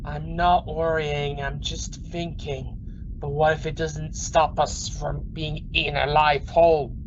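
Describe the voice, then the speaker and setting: A man's voice: fairly high pitch, little pitch movement, slow. A man playing a wary, questioning crewman on a submarine in an amateur sci-fi radio play. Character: uneasy, doubting male crewman on an alien-ocean submarine. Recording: muffled recording with faint noise.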